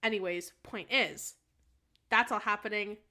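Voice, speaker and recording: A woman in casual comic chat, talking in an animated, scattered, exclamatory way. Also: medium pitch, a little slow. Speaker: podcast panelist.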